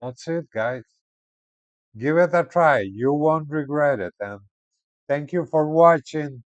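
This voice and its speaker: A man giving casual unboxing and product commentary in an informal, halting way, often repeating words. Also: on the high side, lively intonation, dry recording, highs cut off. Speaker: product reviewer.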